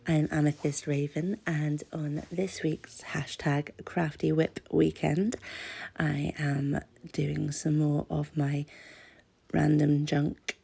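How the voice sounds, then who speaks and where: Congested British woman, very creaky low voice. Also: level tone, slow pace, dark tone. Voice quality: congested, stuffy-nosed. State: has a cold. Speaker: craft channel host. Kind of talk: casual craft voice-over, chatty.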